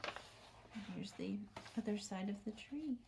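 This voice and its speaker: A woman teacher reading a picture book aloud to young children, commenting and asking questions, often dropping into a hushed near-whisper. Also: mid-range voice, slow pace, creaky, noisy background. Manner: asks the listening children questions.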